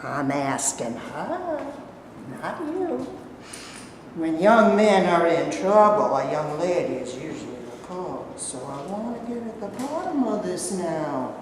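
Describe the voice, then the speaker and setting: A woman's voice: on the low side, very animated, slow. An actress playing a suspicious, domineering, street-wise homeless mother in a staged reading, with a folksy, scolding, sarcastic drawl. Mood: suspicious, probing. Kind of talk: theatrical staged play reading. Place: live staged reading in a hall. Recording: echoey hall, far mic, noisy.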